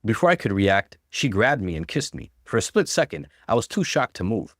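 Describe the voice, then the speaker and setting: A man's voice: fairly low pitch, very animated, a little fast, no room sound, clean studio sound. A man reading a first-person story aloud as a dramatic narrator.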